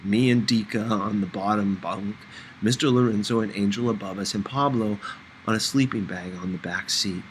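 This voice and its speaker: A man's voice: lowish voice, normal speed, a little hiss or hum, rough recording. A man narrating a first-person novel as an audiobook reader, in a scripted storytelling style.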